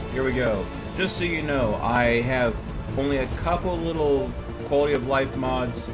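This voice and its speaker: A man chatting casually and explaining things as he hosts a live game stream. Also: lowish voice. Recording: loud music behind the voice. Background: loud game music.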